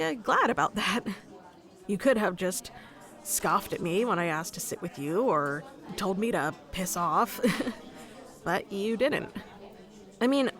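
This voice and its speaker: A woman playing a shy, nerdy young writer in a conversational ASMR roleplay, rambling in a hesitant, giggly, self-conscious way. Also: mid-range voice. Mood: earnest, self-conscious.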